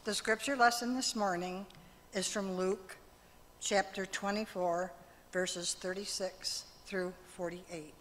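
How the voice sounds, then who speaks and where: An older woman reading scripture aloud in a church, in a careful, measured manner. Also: medium pitch, fairly even intonation, fairly bright tone, slight background noise. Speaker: scripture reader. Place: large church sanctuary, at a lectern. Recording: long church hall reverb.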